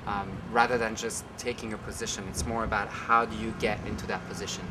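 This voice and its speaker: A man from Belgium in his thirties, a ballet choreographer, explains his work in a loose, searching way, full of small pauses and fillers. His voice sits on the low side. The recording is taken from a distance, with a loud, constant background hum. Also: little pitch movement. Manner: thoughtful, searching for words with many fillers. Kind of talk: casual interview talk.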